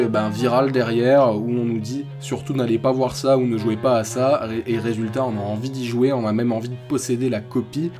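Young guy at his webcam, chatty, mid-pitch, lots of euhs, faint music behind. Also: darkish tone. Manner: casual, thoughtful, many fillers and restarts. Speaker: channel host. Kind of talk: ranking urban legends in a tier list. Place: indoor room, webcam framing.

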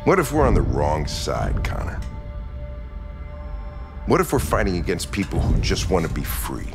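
An older American man playing a gruff, world-weary police lieutenant in a video game, blunt in manner. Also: low-pitched, wildly swooping pitch, normal speed, full character voice. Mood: probing, thoughtful. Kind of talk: dramatic game cutscene acting. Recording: music behind the voice.

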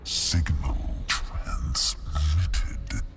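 Malevolent game AI, extremely slow, abyssal pitch, synthetic processing, halting, cold. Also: male voice, animated, a bit bright, a little hiss or hum, exaggerated voice. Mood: halting, broken. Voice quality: gravelly, synthetic. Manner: halting, clipped, cold pronouncements. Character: menacing, broken, malevolent rogue artificial intelligence. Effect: deep machine voice processing. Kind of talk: video game dramatic acting.

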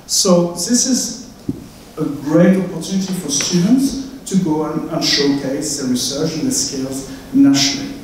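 A middle-aged man with a French accent, hosting a university event in a chatty, welcoming way with some halting fillers. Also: a little high, darkish tone, a little hiss or hum. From France. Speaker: host. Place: large lecture hall, indoors. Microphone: handheld mic, far camera. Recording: very echoey hall from far off.

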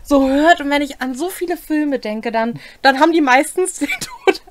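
A woman's voice: sits high, lively intonation, moderate pace, slight background noise. A young adult woman chatting casually as a co-host. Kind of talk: casual chat. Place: small cluttered home room, indoors.

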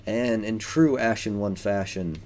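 Low-voiced man giving casual game commentary. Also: unhurried, a little mellow. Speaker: let's player.

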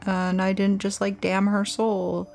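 Unhurried woman streaming a horror game. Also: mid-range voice, monotone, creaky, darkish tone. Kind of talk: casual horror game playthrough, reading on-screen text aloud. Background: game music.

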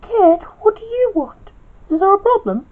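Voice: very high-pitched, very animated, moderate pace, pitched above the performer's own voice. An amateur storyteller voicing a stern, bossy female restaurant boss who scolds in exasperation. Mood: irritated. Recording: dull, lo-fi home recording.

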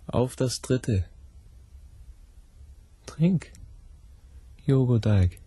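An actor playing a persuasive, insistent recruiter with a husky, gravelly voice in a radio drama. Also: male voice, mid-range voice, lively intonation, very slow, warm tone, no echo, exaggerated voice. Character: persuasive, insistent recruiter plying a villager with drink.

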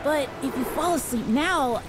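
A woman voicing a caring, wry talking wolf companion from a video game, with a halting, gently nagging manner. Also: high voice, over music. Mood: wry, hesitant. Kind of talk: animated voice acting.

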